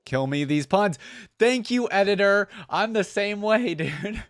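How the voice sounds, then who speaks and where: A woman's voice: mid-range voice, animated, a little slow. A woman giving casual reaction commentary as a host, with mock-exasperated muttered echoes and short interjections. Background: game voice lines and music.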